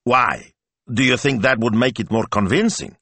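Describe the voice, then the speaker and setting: A fussy, scheming middle-aged man, quick to exasperation, his voice leaping up in lively bursts, as a male storyteller puts him on. It has a dry, close sound with no room around it. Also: medium pitch, no echo, voice pushed up. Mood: impatient. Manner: exasperated, theatrical.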